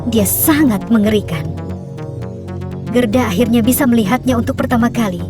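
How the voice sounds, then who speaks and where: High-voiced woman narrating a children's fairy tale. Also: normal speed. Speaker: storyteller, narrator. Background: music.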